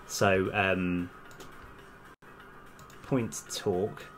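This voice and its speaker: A man's voice: deep voice, fairly even intonation, drawn-out words, fairly bright tone, soft background music. A man doing casual live-stream commentary as a game developer, thinking aloud in a hesitant, self-correcting way.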